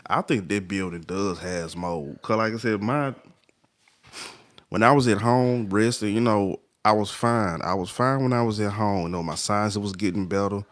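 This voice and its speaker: A man with a deep, low voice hosts a late-night livestream over a phone or webcam. He drifts between thoughts and greets viewers by name. His nose sounds a little stopped up. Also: moderate pace, no echo. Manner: laid-back, talks to viewers by name, trails off. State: stuffy nose. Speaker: livestream host.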